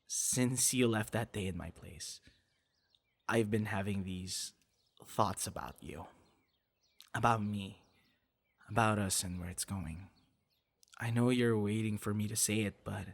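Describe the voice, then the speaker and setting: Man acting as low-voiced, nervous, tender male friend. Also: creaky, husky, full character voice. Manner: hesitant, intimate, with pauses and sighs. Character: nervous, tender young male friend confessing romantic feelings. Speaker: ASMR roleplay audio performer. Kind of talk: ASMR boyfriend roleplay, intimate scripted confession.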